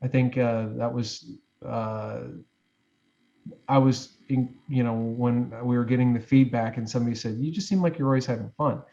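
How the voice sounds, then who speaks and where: A man's voice: mid-range voice, steady tone, moderate pace, vocal fry, mellow, highs cut off. A man in his forties, a guest giving advice in a reflective interview, talking in loose, rambling sentences with many fillers and restarts. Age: 40s.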